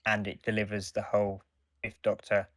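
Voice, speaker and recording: A man's voice: fairly low pitch, monotone, normal speed, a bit bright, no room sound, clean studio sound. A man co-hosting a podcast, talking casually as he reviews and gives his opinions. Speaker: podcast co-host.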